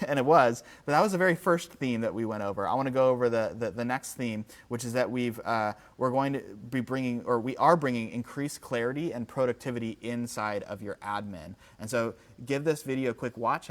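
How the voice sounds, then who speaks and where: A man giving a casual live software demo, with an enthusiastic, informal, conversational manner. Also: medium pitch, brisk. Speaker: company executive presenting a livestream.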